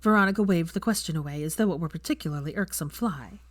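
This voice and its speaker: A woman narrating fiction as an audiobook reader, in an expressive scripted storytelling style. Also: on the low side, fast talker, dry recording.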